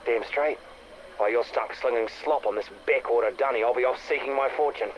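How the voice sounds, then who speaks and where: A man acting as a cocky male worker in a video game, boasting and taunting. His voice is middling in pitch and brash, on a faintly noisy, radio-like recording. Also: fairly quick, muffled recording, natural voice. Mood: boastful, taunting. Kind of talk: acted game dialogue.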